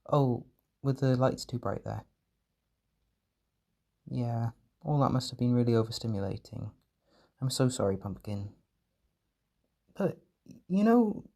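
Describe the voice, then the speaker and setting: A man performing a gentle, doting caretaker in an intimate comfort roleplay, speaking softly and reassuringly with tender pet names. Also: mid-range voice, expressive, a little slow, vocal fry, long pauses. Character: gentle, doting male caretaker soothing an overwhelmed partner.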